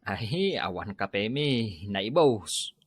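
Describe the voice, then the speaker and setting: Mocking, tight-fisted Ilocano neighbour, animated. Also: male voice, on the low side, takes it slowly, slightly rough, no room sound, exaggerated voice. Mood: dismissive. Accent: Filipino, Ilocano. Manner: curt, mocking, grumbling. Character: stingy, dismissive neighbour scolding a lazy moocher. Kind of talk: Ilocano radio comedy drama acting.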